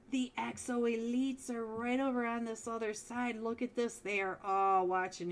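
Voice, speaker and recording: A woman casting a mobile esports match live as a solo caster, chatty and talking straight to viewers, with a voice she says is going hoarse. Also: medium pitch, slight background noise. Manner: chatty, talks straight to viewers, frequent restarts. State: losing her voice. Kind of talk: live game commentary.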